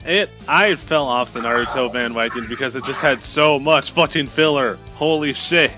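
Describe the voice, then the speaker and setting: A man's voice: medium pitch, moderate pace, pushed and bright, faint music behind. A man in casual livestream chat, laid-back and sweary, often chipping in briefly.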